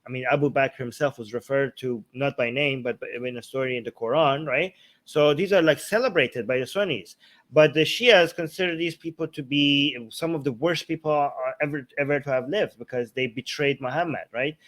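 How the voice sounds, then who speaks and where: A man's voice: mid-range voice, brisk, fairly bright tone. A man in a casual explanatory talk, speaking informally and often checking in with "okay" or "right". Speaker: talk show host.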